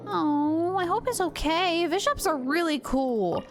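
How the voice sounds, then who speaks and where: A woman's voice: extremely high pitch, lively intonation, measured pace. A woman livestreamer giving casual commentary as she plays a video game. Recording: game music behind the voice. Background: video game music.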